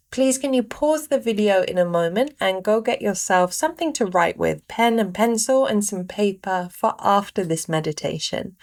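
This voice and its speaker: A woman guiding a meditation, giving gentle instructions in short phrases with pauses between them. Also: medium pitch. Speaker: meditation guide. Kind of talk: guided meditation narration.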